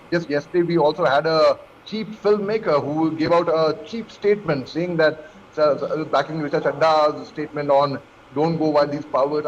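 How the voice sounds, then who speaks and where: High-pitched Indian man on a noisy dull line. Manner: emphatic, repeats phrases, halting. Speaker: panel guest commentator. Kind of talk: live news debate opinion. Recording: dull lo-fi call audio, clear noise.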